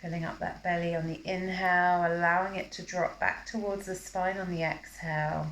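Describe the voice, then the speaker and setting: A woman teaching yoga, guiding a breathing practice in a calm, measured, instructional manner during a live class. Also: on the low side. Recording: roomy, echoing live class recording.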